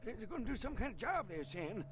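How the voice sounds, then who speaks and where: Very high-pitched, panicky squatter. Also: male voice, big pitch swings, fast, fairly bright tone. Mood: frightened. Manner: stammering, panicky, uneducated street speech. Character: terrified, jittery male squatter who witnessed a murder. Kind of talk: video game voice acting.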